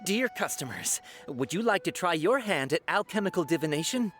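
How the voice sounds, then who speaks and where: A man's voice: high voice, big pitch swings, moderate pace. An eager market fortune-teller from a video game. The delivery is salesman-like and theatrical, swinging animatedly, with an affable, slightly bumbling air. Faint music sits behind the voice. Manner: salesman-like, theatrical, hesitant when unsure. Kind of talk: video game character acting.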